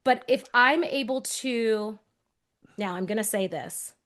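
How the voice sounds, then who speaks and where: A woman's voice: fairly high pitch, slow, a bit bright. A woman in her forties, raised in Texas, speaks in a neutral accent with no regional drawl. She co-hosts a podcast and answers questions in a reflective, conversational way. Her delivery is earnest and thoughtful, with small restarts as she gathers her thoughts. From Texas, USA. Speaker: podcast co-host.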